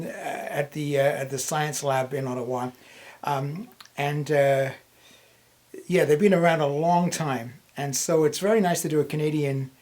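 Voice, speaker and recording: A man's voice: a little high, darkish tone. A man hosting a casual product review, talking informally as he introduces and asks questions. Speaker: reviewer and host.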